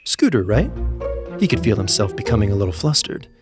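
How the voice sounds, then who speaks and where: A man narrating a scripted comedic story in an expressive, theatrical way, with music playing behind him. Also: lowish voice, fast. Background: background music.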